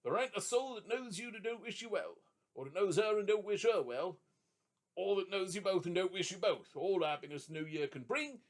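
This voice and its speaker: A man voicing a tipsy, jovial drummer who makes a rough, common-spoken speech. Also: high voice, expressive, normal speed, voice pushed up.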